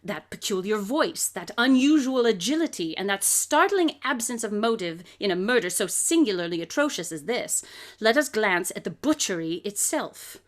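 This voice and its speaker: An actress playing a brilliant, coolly confident detective who lectures with precise, theatrical diction in an audio drama. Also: female voice, medium pitch, lively intonation, normal speed, natural voice. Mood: intense, dramatic. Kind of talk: live audio drama acting.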